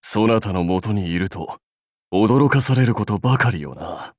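A man's voice: fairly low pitch, lively intonation, normal speed, dry recording, studio quality. A man voicing a proud, seasoned samurai warlord in a mobile game, speaking in a dignified, archaic lordly manner. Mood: measured, bemused. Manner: stately, archaic lordly speech. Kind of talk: Japanese mobile game character voice lines.

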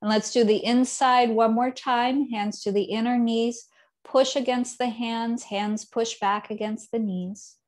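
A woman in her thirties teaching a seated yoga class from her living room, giving calm, unhurried cues with soft trailing endings, picked up by a camera set some distance away. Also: a little high. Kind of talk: guided yoga instruction.

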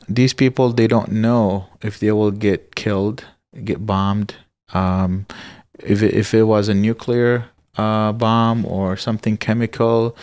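A man from Iraq, likely in his thirties, speaks earnestly and haltingly, often pausing and restarting. His voice sits on the low side. The recording is dry and close, from a boom-arm mic in a small studio room. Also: clear, resonant tone, no room sound. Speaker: guest. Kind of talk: personal story in a podcast interview.

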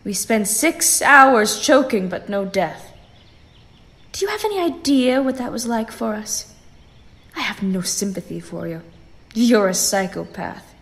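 A woman's voice: mid-range voice, lively intonation, measured pace, fairly bright tone. A woman playing an older, unforgiving grandmother in a sci-fi audio drama, with a stern, emphatic delivery. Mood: bitter, stern. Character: stern, unforgiving grandmother guarding her family.

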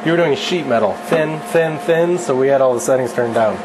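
A man's voice: medium pitch, a little fast, noisy background. Man, 30s, joking, offhand, instructional, home garage, camera mic, humming background. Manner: joking, offhand, self-deprecating. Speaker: channel host teaching welding. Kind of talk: casual instructional chat. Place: home garage workshop, indoors.

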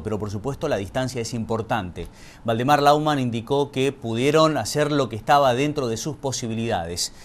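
A middle-aged male news anchor reads a report in a measured, formal voice at a middling pitch. His delivery is steady and scripted.

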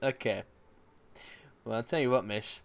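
A young man hosting a gaming channel, chatting casually while playing a co-op game, playful with loud bursts of laughter. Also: mid-range voice, unhurried, gravelly. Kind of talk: casual co-op gameplay chat.

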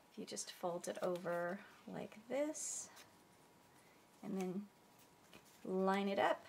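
A woman's voice: medium pitch, vocal fry, a little hiss or hum. A woman hosting a craft tutorial, chatty and a bit stuffy from a cold, explaining step by step at her table. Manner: chatty, friendly, searches for words. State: stuffy, under the weather. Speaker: crafting channel host. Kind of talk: casual tutorial demonstration.

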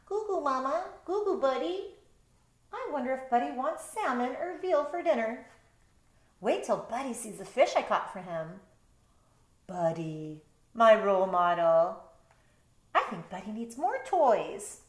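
A smug, carefree cat from a children's storybook, as a woman reader puts it on. It is playful and self-satisfied, with an animated pitch, and sounds roomy. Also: a little slow, pauses often. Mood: fond.

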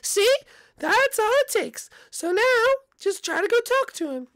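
A woman voicing a gentle, caring mother who coaxes her shy son. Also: extremely high pitch, big pitch swings, unhurried, voice pushed up, full character voice. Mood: soothing. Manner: soothing, encouraging.

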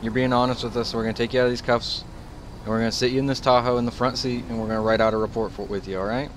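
A man's voice: mid-range voice, little pitch movement, racing, natural voice. A man playing a matter-of-fact sheriff's officer in an online role-play, firm and procedural. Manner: firm, procedural, plain-spoken. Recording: gaming voice chat with background noise.

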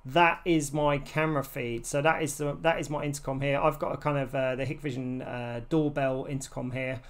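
A man's voice: medium pitch, level tone, normal speed, clear, resonant tone. A man in his forties presenting a casual, step-by-step tech tutorial.

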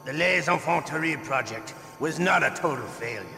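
An actor playing a sadistic, theatrical interrogator in a video game, with a menacing, taunting, drawn-out delivery. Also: male voice, a little high, animated, gravelly, bright, forceful tone, heavily acted. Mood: gloating, sadistic. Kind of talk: video game villain acting. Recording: echoey metallic room, faint noise.

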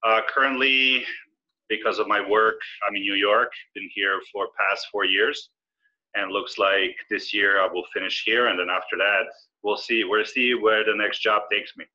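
Forties man, Serbian-born guest, lowish bright voice, slightly dull webcam sound. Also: fairly even intonation. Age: 40s. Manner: easygoing, measured and explanatory. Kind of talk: casual interview. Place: indoor room with brick wall. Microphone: video call webcam. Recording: slightly lo-fi video call audio.

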